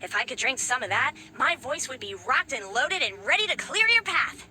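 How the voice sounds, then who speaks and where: Chill giant flower gatekeeper, high voice, super friendly and slangy, noisy game audio behind. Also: female voice, sing-song, normal speed, bright, forceful tone, exaggerated voice. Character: giant talking flower, cheerful laid-back gatekeeper. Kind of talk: video game dialogue. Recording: game audio with clear background noise.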